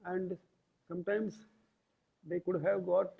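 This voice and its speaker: A man giving an explanatory lecture, deliberate and pausing between short phrases. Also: high voice, little pitch movement, creaky, husky. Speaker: yoga lecturer. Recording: tinny, phone-like band-limited audio.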